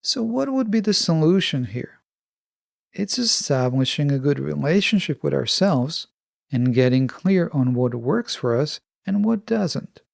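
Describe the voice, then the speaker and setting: Creaky, higher-pitched man hosting a podcast. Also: sing-song, measured pace, no room sound, clean studio sound. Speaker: podcast host. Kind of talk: scripted self-help monologue.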